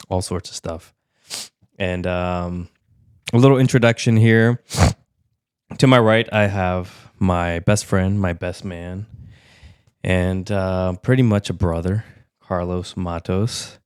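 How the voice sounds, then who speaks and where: A man hosting a casual podcast, introducing his friends and asking them questions with a relaxed, joking manner. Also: low voice, takes it slowly, smooth, no echo, studio quality. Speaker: podcast host. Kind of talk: casual chat.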